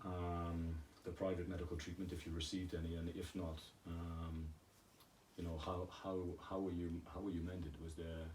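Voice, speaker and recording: A man interviewing a guest, asking questions in a halting way and often rephrasing them. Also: deep voice, little pitch movement, a little mellow. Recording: echoey room, distant mic, faint hiss.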